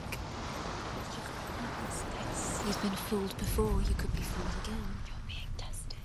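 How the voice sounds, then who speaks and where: An actress playing anxious whispering voices in a warrior's head in a video game, muttering urgent, fragmented, repeated phrases. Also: female voice, medium pitch, heavy background noise, close to an everyday voice. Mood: doubting, taunting.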